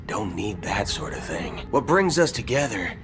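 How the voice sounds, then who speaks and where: Cocky space cowboy, low swooping voice, folksy swagger, game dialogue, strong music behind. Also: male voice, big pitch swings, normal speed. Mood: earnest, proud. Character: cocky, drawling cowboy gunslinger ranger, swaggering and theatrical. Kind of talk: video game character acting. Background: loud game music.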